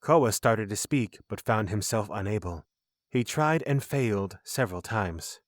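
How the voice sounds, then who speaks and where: A man's voice: on the low side, expressive, measured pace, no room sound, clean studio sound. A man reading scripted narration for a fantasy audio drama.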